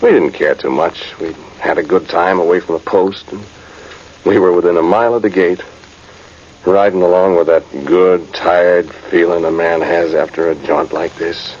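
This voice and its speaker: Man acting a deep-voiced weary cavalryman, muffled radio. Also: fairly even intonation, normal speed, darkish tone, close to an everyday voice. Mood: reflective, weary. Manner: folksy, reflective, hesitant. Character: plain-spoken, weary cavalry trumpeter recalling his soldiering days. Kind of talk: first-person radio drama narration. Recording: muffled, noisy vintage radio recording.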